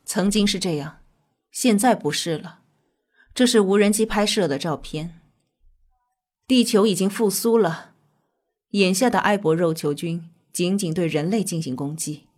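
A woman playing a calm, earnest scientist, explaining in a measured way in an audio drama. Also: mid-range voice, lightly acted. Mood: measured, serious. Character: calm, earnest female scientist with mystical faith.

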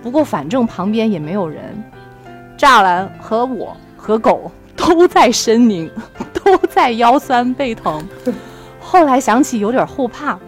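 A woman with a fairly high voice hosts a casual book podcast. She moves between relaxed talk and reading aloud, with faint music behind her. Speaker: podcast host.

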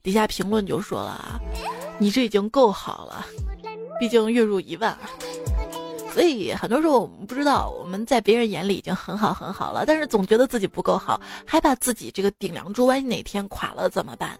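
A woman's voice: medium pitch, big pitch swings, brisk, gravelly, darkish tone. A young woman hosting a chatty comedy monologue in a playful, teasing and theatrical manner. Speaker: host of a comedy joke show. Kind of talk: chatty humorous monologue over music. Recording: music bed behind the voice. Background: background music.

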